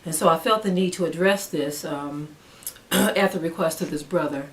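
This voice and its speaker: Woman, middle-aged, slightly low voice. Informal religious teacher, earnest and deliberate, phrases broken by pauses. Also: a little hiss or hum.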